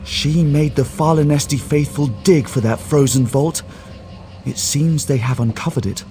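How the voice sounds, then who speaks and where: A male actor playing a skeptical, scholarly guide in a fantasy game, explaining in a measured, wry way. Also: fairly high pitch, warm tone, faint music behind, close to an everyday voice. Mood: matter-of-fact, wry. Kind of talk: video game quest dialogue.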